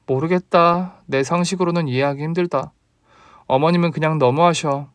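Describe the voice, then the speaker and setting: A man pitching up as a polite but firm young wife. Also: steady tone, moderate pace, smooth, dry recording, lightly acted. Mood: indignant. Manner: polite, indignant, pleading.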